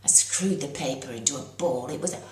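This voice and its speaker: A woman reading her own children's story aloud as its author, in an expressive narrating style. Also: a bass voice, brisk. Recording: roomy echo.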